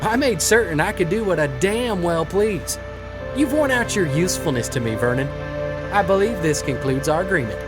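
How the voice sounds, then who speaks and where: A man's voice: sits high, animated, normal speed, loud music behind the voice. A man playing a smug, defiant oil refinery boss in an audio drama, sardonic and taunting. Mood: bitter, triumphant.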